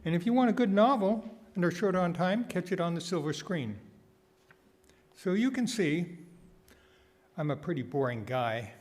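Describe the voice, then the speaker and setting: Higher-voiced man giving a sermon. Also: lively intonation, moderate pace, pauses often, a little mellow. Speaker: guest speaker giving a sermon, nonprofit founder. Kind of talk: prepared sermon with wry humorous asides.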